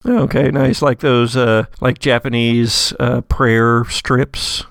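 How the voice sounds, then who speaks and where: A man narrating a tabletop roleplaying game as game master, telling the story in a deliberate, dramatic way. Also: medium pitch, fairly even intonation, dry recording, studio quality.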